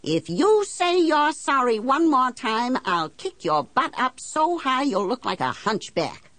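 A woman's voice: deep voice, very animated, normal speed, dry recording. A woman playing a blunt, embittered working-class island housewife who tells her story in folksy, ungrammatical country talk for an audiobook. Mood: bitter, indignant. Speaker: audiobook narrator. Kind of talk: first-person storytelling in character.

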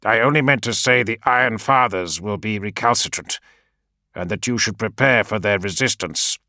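A dryly courteous adviser who weighs every word and keeps a mock-sober tone, played by a male narrator. The sound is bright, close and dry, like an audiobook booth. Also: on the low side, no room sound, voice pushed up. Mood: careful. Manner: measured, mockingly sober, deliberate.

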